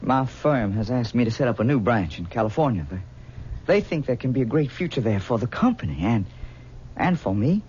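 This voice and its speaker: A man's voice: mid-range voice, very animated, a little mellow, a little hiss or hum, natural voice. An actor playing an earnest young man who pleads with his clinging mother in a 1970s radio drama. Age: young adult. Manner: earnest, pleading, a little halting. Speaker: son. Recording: dull 1970s radio broadcast.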